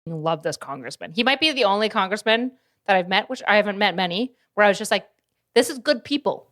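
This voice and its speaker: A young woman streamer chatting casually as she reacts to clips, animated and laughing, swearing now and then and mimicking people. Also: mid-range voice, quick pace.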